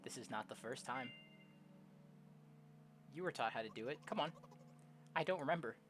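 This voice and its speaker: Young woman, low voice, very animated, game streamer, slangy, faint background noise. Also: moderate pace. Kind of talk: casual gameplay commentary, reading visual novel text aloud. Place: own room at home.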